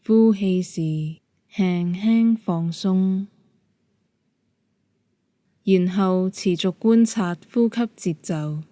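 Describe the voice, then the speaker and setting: Slow, mellow Cantonese-speaking woman guiding meditation. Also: mid-range voice, no room sound. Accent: Hong Kong Cantonese. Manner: calm, gentle, measured instruction. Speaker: yoga nidra meditation guide.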